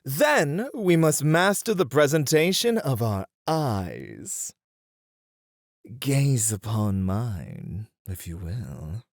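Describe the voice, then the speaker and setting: Someone playing an unhurried, playful, elegant seduction tutor. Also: medium pitch, big pitch swings, no echo, studio quality, heavily acted. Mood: intent, coaxing. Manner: refined, theatrical, deliberate phrasing. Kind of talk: ASMR roleplay, scripted guided lesson.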